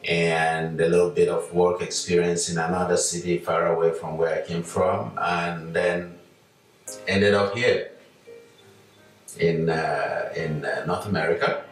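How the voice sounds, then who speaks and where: An older man from Nigeria speaks in a very deep, unhurried voice, pausing often as he recalls his past. He sounds set back from the mic in a strongly echoing room. Soft guitar music plays underneath. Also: level tone, far from the mic. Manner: slow, hesitant with many fillers. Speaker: interview guest, musician. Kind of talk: relaxed reflective storytelling.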